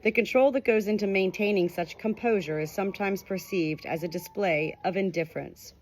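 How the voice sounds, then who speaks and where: A woman's voice: medium pitch, fairly quick, a little hiss or hum. A woman reading a nonfiction book aloud as scripted audiobook narration. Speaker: audiobook reader.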